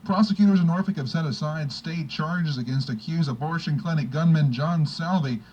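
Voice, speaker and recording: A man's voice: a little high, moderate pace, darkish tone, highs cut off. A man reading a scripted radio news bulletin as anchor.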